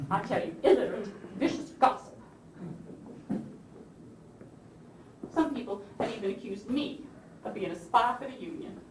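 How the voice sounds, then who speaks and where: Actress as a high-voiced proud Southern First Lady, distant. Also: lightly acted. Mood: indignant, scornful. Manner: theatrical, genteel, emphatic, swinging from anguish to haughty scorn. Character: proud, indignant Southern First Lady of the Confederacy, wounded mother. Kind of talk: stage play monologue. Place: theatre stage, recorded from a distance. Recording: roomy distant stage recording with hiss.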